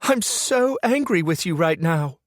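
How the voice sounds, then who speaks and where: A man voicing an angry young woman who accuses her partner over the phone, sharp and furious. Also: high voice, wildly swooping pitch, normal speed, no room sound, studio quality, voice pushed up, heavily acted.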